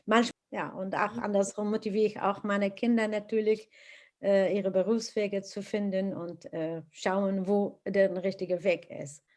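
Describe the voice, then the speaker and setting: A middle-aged woman from Iraq who speaks German, telling her own story in an interview, earnest and animated, with frequent hesitations. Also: medium pitch, measured pace.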